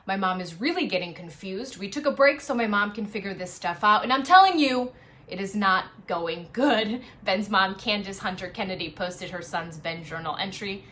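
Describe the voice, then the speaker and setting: A woman presenting traffic and news live from her apartment dining room on a phone, chatty off air and brisk on air, a little sniffly. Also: medium pitch, a bit bright. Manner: chatty and self-deprecating off air, brisk and upbeat on air. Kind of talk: casual live stream chat and on-air traffic report.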